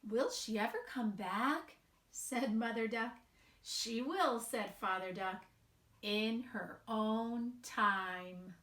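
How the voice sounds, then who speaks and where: A woman with a fairly high voice reads a children's story very slowly and expressively. She leaves emphatic pauses between phrases. The sound is roomy and echoing. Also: fairly bright tone. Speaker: picture book reader. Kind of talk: read-aloud children's storytelling.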